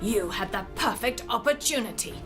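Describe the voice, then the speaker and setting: A hideous old-tale hag, voiced by a woman, bitter and accusing. She declaims in a high voice, portentous and scolding, as in a theatrical drama, with music clearly underneath. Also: animated, normal speed, natural voice. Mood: accusing, scornful.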